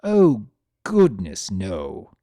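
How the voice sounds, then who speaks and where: A wry male trickster spirit acted out by a story reader, narrating his own tale. The mellow voice swings widely in pitch, sarcastic and grumbling, with theatrical flair. The sound is close and dry. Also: huge pitch swings, extremely slow, no echo, exaggerated voice. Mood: exasperated. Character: Discord, sardonic, grumbling male spirit of chaos turned statue. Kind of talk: first-person dramatic storytelling.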